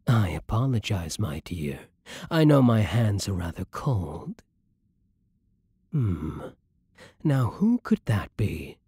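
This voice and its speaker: A man playing a seductive, menacing vampire lord in an ASMR roleplay, with a breathy voice and a silky, theatrical, condescending manner. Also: low-pitched, warm tone, no echo, full character voice. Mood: mock-tender, probing. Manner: slow, theatrical, silky condescension with dropping murmurs. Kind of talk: ASMR roleplay, scripted monologue to the listener.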